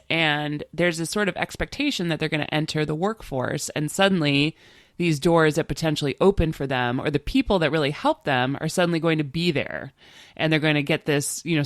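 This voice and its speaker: A woman's voice: low-pitched, no echo, studio quality. A middle-aged woman co-hosting a podcast, chatty and enthusiastic, sounding nasal from a cold. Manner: chatty, enthusiastic, many fillers. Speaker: podcast co-host. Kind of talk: casual podcast chat.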